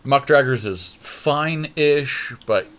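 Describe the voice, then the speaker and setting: A man's voice: medium pitch, slow, fairly bright tone. A man co-hosting a casual podcast, talking through a card game draft in a blunt, opinionated way. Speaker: podcast co-host.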